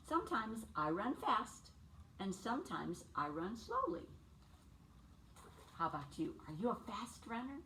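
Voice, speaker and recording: An older woman leads a children's storytime in a fairly low voice with very lively intonation. She speaks in simple, deliberate phrases and often turns to the young listeners with questions. The mic sounds a little distant. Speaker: children's storytime teacher reading a picture book aloud. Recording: distant mic with faint background noise.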